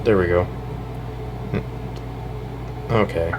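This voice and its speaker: A young American man giving a casual, off-the-cuff show-and-tell, talking in a halting, rambling way and often correcting himself. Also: deep voice. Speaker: hobbyist video creator. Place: indoors in a house. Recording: noisy room with air-conditioner hum.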